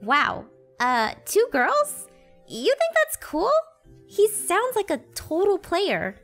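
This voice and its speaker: Animated series: excitable, awkward teen girl, very high and lively, stammers and giggles. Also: slow pace, faint music behind, heavily acted. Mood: awkward, casual. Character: shy, excitable freshman high school girl, gamer and anime fan. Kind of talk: animated roleplay voice acting.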